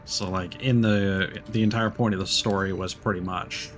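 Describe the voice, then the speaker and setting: A man's voice: on the low side, fairly even intonation, normal speed, faint music behind. A man doing casual live game-stream commentary, thinking aloud in a rambling way.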